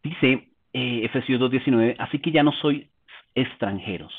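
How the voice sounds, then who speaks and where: Male preacher, husky, calm teaching tone, tinny phone-line sound. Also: mid-range voice, moderate pace. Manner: calm, explanatory, asks rhetorical questions. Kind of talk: teaching sermon.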